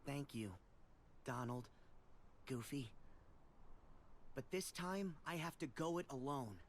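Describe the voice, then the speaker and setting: Earnest young hero, lively and unhurried. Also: male voice, mid-range voice, slight background noise. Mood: resolute, grateful. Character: earnest, determined teenage boy hero, sad but resolute. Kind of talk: video game cutscene acting.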